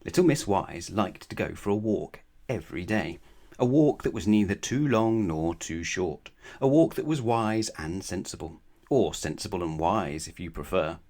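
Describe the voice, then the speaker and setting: A man reading a children's storybook aloud in a measured, expressive way with emphatic phrasing. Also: low-pitched. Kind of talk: children's bedtime story narration.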